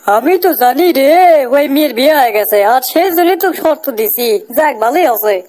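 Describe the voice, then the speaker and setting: Man voicing a sly, shrill cartoon village father. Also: animated, brisk, darkish tone, slight background noise. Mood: taunting. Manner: nagging, wheedling, colloquial. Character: sly, resentful middle-aged village father, scolding. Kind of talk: comic cartoon dialogue.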